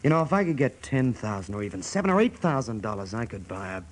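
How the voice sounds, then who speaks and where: A man's voice: fairly low pitch, very animated, fast talker. An actor in a radio play voicing a restless, unsettled young American salesman who yearns for the outdoors. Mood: hopeful, eager.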